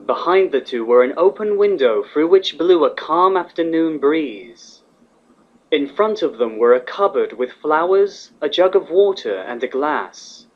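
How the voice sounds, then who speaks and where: A man narrates a written story in a fairly high male voice. The recording is a little dull and lo-fi, as if made at home. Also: expressive, a little slow.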